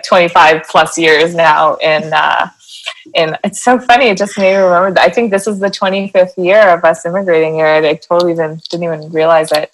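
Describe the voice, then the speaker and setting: A Canadian woman in her thirties talks through her coaching work in a relaxed interview. Her voice sits a little low, and she speaks earnestly, circling through fillers and restarts as she thinks. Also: rough recording. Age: 30s. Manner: earnest, rambling with many fillers. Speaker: guest, transformation coach. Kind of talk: casual interview chat.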